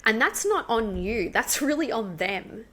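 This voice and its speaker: An Australian woman, an English coach, talking fast and animated as a guest in a casual interview. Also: a little high.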